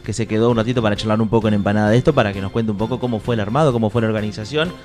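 A man's voice: on the low side, racing, a little mellow. Argentine man hosting, faint music behind. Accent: Rioplatense Argentine. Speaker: host of a comic showbiz segment. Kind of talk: mock gossip-show banter.

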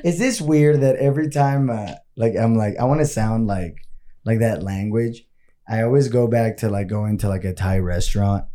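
A man's voice: fairly low pitch, moderate pace, warm tone. A man co-hosting a podcast, chatting casually and rambling through jokes, swearing freely and slipping in Spanish phrases. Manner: rambling, joking, laughs often, drops in Spanish phrases. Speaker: podcast co-host. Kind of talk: casual chat.

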